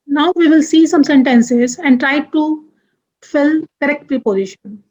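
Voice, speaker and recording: Slow, careful woman teaching French. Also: high voice, a little mellow, dull audio. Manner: slow, deliberate, spells out letters. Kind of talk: grammar lesson.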